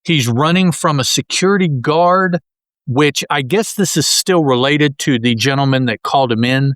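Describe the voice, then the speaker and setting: A man's voice: a little high, moderate pace, fairly bright tone, no echo, studio quality. A man co-hosting a podcast, describing comic panels in a casual, rambling way with many restarts. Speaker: podcast co-host. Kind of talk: casual comic-book discussion.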